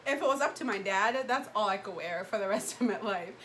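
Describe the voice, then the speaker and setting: A young woman from Ghana hosting a vlog, chatting casually and telling stories in a slangy, animated way. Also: mid-range voice, a little fast, a little hiss or hum. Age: young adult. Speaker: vlog host asking questions. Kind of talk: casual chat with friends, storytelling.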